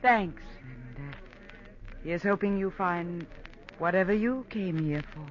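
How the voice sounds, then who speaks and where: An actress playing a wary, weary nightclub singer on the run in a 1940s radio drama, cool and guarded. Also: female voice, lowish voice, measured pace, natural voice. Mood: guarded, polite. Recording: muffled, noisy old radio recording.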